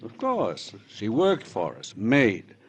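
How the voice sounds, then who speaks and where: Composed, careful American professional in middle age; slightly deep, polite, old radio drama with light background noise. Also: male voice, huge pitch swings, heavily acted. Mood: guarded, placating. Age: middle-aged. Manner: measured, placating, formal. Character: smooth, guarded middle-aged father, a tax consultant. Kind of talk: 1940s-50s American radio drama acting. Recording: noisy vintage radio recording.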